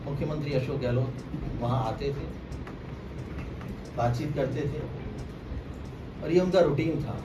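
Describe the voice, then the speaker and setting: A man from Rajasthan, a former political aide, making a deliberate, emphatic press conference statement and repeating phrases for stress. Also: fairly high pitch. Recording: distant mic, echoey room, clearly noisy.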